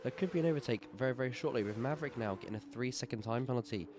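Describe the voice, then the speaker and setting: A man with a sore throat calling a simulated motor race live in quick play-by-play. His voice sits at an ordinary middle pitch. A clear hum of noise runs behind him. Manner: brisk play-by-play, gets excited at overtakes. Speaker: sim racing commentator. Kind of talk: live esports race commentary.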